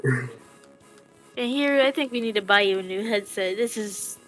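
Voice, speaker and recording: A woman's voice: medium pitch, normal speed, soft background music. A woman playing a tabletop game over voice chat, chatting casually and teasing the others with frequent laughter.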